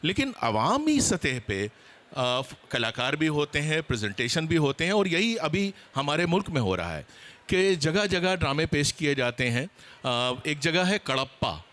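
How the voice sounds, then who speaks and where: Male seminar panelist, slightly high voice, explanatory address to an audience. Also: a bit bright.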